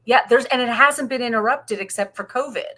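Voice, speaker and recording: An American woman co-hosting a podcast, chiming in casually as part of a relaxed discussion. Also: fairly high pitch, brisk. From United States. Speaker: podcast co-host. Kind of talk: casual book and film discussion.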